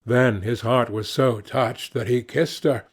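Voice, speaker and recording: A man's voice: mid-range voice, steady tone, a little slow, breathy edge. A man narrating fairy tales from a script as an audiobook reader.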